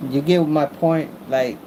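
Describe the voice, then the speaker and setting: A man with an American accent talking casually as a vlogger, rambling through his opinions in a repetitive, halting way as he searches for words. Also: on the high side, background noise. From Mexican-American, born in the United States.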